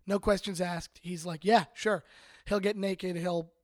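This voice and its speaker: A man's voice: high voice, steady tone, a little mellow, no room sound, clean studio sound. A young man hosting a podcast, talking casually with lots of fillers and restarts. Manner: halting, many fillers and restarts. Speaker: podcast host.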